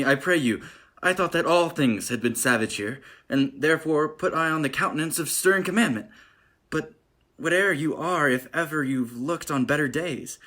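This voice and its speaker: An actor playing a desperate but noble-hearted young gentleman in a Shakespearean radio play, earnest and theatrical. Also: on the high side.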